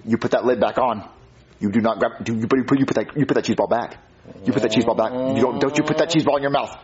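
A man's voice: medium pitch, level tone, fast talker, muffled recording, a crowd in the background, natural voice. A man playing an exasperated, strict young father in a puppet comedy, scolding with stammered repetition. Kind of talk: puppet comedy skit acting.

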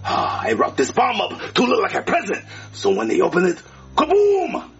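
Man playing a high, gravelly cackling monkey villain, wild swoops, lo-fi with crowd noise. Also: wildly swooping pitch, normal speed, soft background music, full character voice.

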